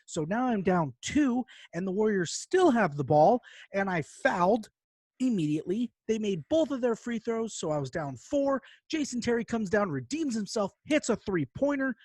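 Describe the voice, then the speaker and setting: A man co-hosting a podcast, telling gaming stories in an animated, casual, sweary way. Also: sits high, normal speed, no echo. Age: adult, parent of a young child. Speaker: podcast co-host. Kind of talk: casual chat, long gaming anecdote.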